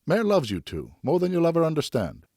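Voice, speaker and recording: Man acting a firm father, animated delivery. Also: on the high side, vocal fry, no room sound, clean studio sound, pitched above the performer's own voice, heavily acted. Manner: stern, measured, explaining. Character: firm but loving father of two boys.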